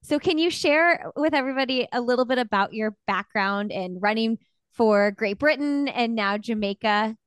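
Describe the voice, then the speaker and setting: A woman podcast host asking interview questions in a brisk, encouraging way. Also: a little high, clean tone, no room sound.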